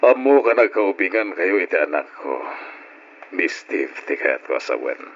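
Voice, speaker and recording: A man's voice: low-pitched, sing-song, normal speed, full character voice. An actor playing a grave older father who pleads with solemn authority in an old-style radio drama. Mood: polite, hesitant. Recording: dull, noisy old radio drama recording.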